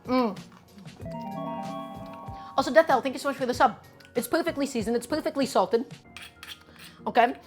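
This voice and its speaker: A woman's voice: high voice, moderate pace, long pauses, music in the background. A woman on a live cooking stream, talking to chat step by step in an upbeat way and often saying "guys" and "okay". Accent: her own distinct accent, not Brooklyn.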